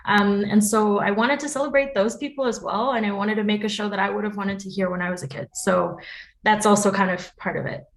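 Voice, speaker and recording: A woman's voice: mid-range voice, steady tone, brisk. A woman from New York City with an American accent, giving thoughtful, explanatory answers in a conversational interview. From New York City, United States. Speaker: interview guest, podcast producer.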